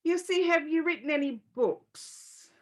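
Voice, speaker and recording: A woman's voice: high-pitched, animated, a little slow. A woman hosting a casual interview podcast, plain-spoken and conversational as she agrees and adds her own views. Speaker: podcast host.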